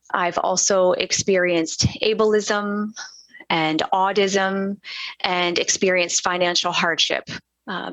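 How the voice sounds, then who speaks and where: A woman with a fairly low voice gives first-person spoken interpretation of a signing panelist over a video call. Her delivery is measured and halting, with frequent fillers. The sound is close and dry. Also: vocal fry, a bit bright, no echo, rough recording. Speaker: sign language interpreter voicing a panelist.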